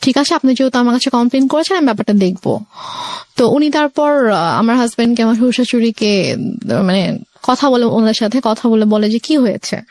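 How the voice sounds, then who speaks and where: A young woman with a Bangladeshi accent telling her personal story as a guest, conversationally and with hesitant pauses. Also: fairly high pitch, lively intonation, darkish tone. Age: young adult.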